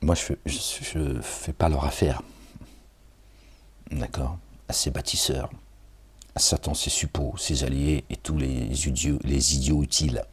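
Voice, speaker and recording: Male preacher, very deep voice, husky; reads scripture loosely, sounds tired, chatty asides. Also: fairly even intonation, a little slow, long pauses. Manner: casual, digressive, chuckles, addresses listeners directly. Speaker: self-styled preacher reading and commenting on scripture. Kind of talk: informal scripture reading with commentary.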